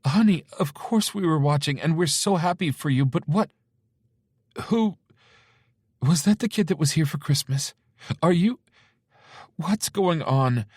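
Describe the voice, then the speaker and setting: A man voicing a flustered middle-aged mother who asks breathless, rapid questions. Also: a little high, expressive, darkish tone, slightly rough, dry recording, studio quality, pitched above the performer's own voice.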